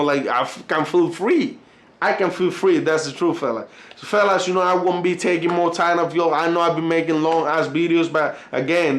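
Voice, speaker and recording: Colombian Spanish-accented male vlogger, slightly high voice, rambling direct-to-camera chat, roomy sound. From Cartagena, Colombia. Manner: repetitive, loose, calls viewers fellas. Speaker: vlogger and tour guide. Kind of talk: casual monologue to camera.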